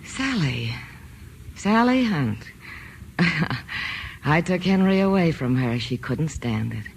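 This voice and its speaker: An American actress playing a spoiled, willful young heiress in 1940s radio drama style. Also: female voice, sits low, sing-song, slow pace, exaggerated voice. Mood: bitter, cool. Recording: old radio broadcast, music underneath.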